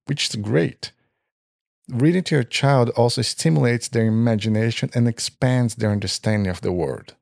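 A man's voice: medium pitch, gravelly, a little mellow, dry recording, studio quality. A man in his thirties, a native Portuguese speaker, co-hosting a podcast and explaining things earnestly. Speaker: podcast co-host. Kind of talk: podcast narration.

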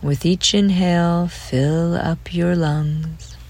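Woman, guided meditation, low register, drawn-out delivery, creaky fry, soothing, breathy phrase endings. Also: steady tone. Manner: soothing, gentle, breathy trailing phrase ends. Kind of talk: slow guided relaxation meditation.

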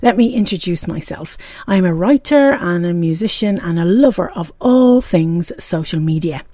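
A woman in her forties with a warm, mellow Dublin Irish accent talks openly into a close, dry mic. She speaks in a reflective podcast monologue. She pauses often with ums and restarts her sentences. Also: lowish voice, lively intonation, dry recording. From Ireland. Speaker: podcast host.

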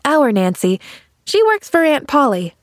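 A woman's voice: sits high, big pitch swings, unhurried, no echo, studio quality, pitched above the performer's own voice. A woman voicing a cheerful, naive little girl in an audiobook, eager and earnest, rambling on chattily. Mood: sympathetic, eager. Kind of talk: audiobook character dialogue.

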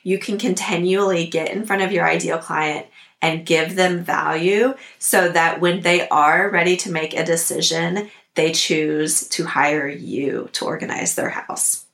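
A woman hosting a podcast, hoarse from a cold, giving upbeat, practical coaching tips. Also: lowish voice, fairly even intonation, a little slow, fairly bright tone, echoey room. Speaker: podcast host. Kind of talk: instructional podcast talk.

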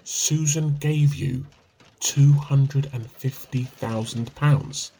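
An actor playing an evasive, sheepish husband with a dry wit in a radio play comedy, hesitant and teasing. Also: male voice, mid-range voice, slow, dark tone, husky, low-quality recording. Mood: calculating.

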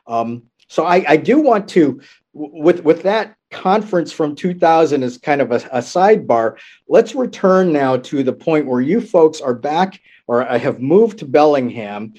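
A man who is a law professor hosting the conversation, asking questions in a measured way and hedging with fillers. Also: a little high, expressive, darkish tone. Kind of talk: interviewer's questions.

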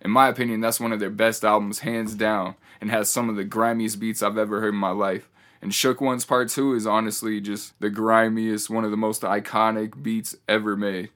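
A man's voice: fairly low pitch, flat delivery, moderate pace, fairly bright tone. A man hosting a podcast solo, speaking casually in a laid-back way with hip-hop slang and sometimes reading passages out. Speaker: podcast host.

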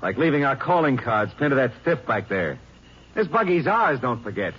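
A man playing a gruff, bossy armed robber in a 1950s radio drama, speaking curt and menacing. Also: medium pitch, very animated, normal speed, a bit bright, heavily acted. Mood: scheming. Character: gruff male small-time crook, bossy and cold. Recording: hissy lo-fi old radio transcription.

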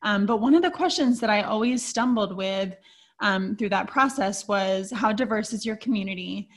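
A woman's voice: medium pitch, normal speed. A woman hosting an interview, responding thoughtfully with fillers. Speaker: host. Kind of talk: conversational interview.

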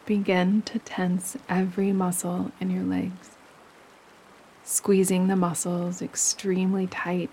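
A woman leading a guided relaxation meditation as a yoga nidra teacher, speaking gently and soothingly, with pauses between phrases. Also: lowish voice, gravelly, slight background noise, rough recording. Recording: steady running stream water behind voice. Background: gentle running stream sounds.

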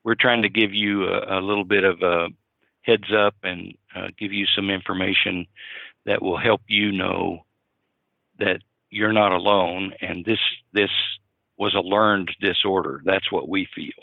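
A man, a clinical psychologist co-hosting a podcast, explaining in a measured way and repeating points. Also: low voice, steady tone, creaky, no room sound, narrow, tinny audio.

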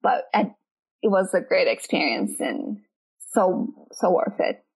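A Brazilian woman speaking English as a second language, answering interview questions in a relaxed chat and often pausing to search for words. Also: lowish voice, slightly rough, poor audio. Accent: Brazilian Portuguese. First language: Portuguese.

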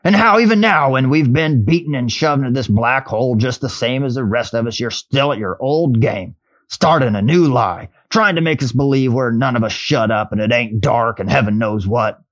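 Surly storybook dwarf, acted by a male reader: gruff, scornful, stubborn, on slightly dull audio. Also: medium pitch, normal speed, close to an everyday voice. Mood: indignant. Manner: grumbling, scornful, colloquial.

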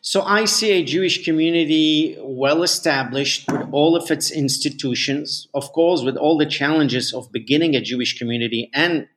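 A middle-aged man from Lebanon, a rabbi answering interview questions in a measured, halting way with many restarts. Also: medium pitch, a bit bright.

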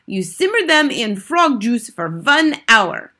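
Woman voicing an imperious, high-pitched storybook villain witch. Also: sing-song, slow pace, sharp tone, exaggerated voice. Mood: gloating, instructing. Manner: commanding, scornful, relishing instructions. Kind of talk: storybook character read aloud.

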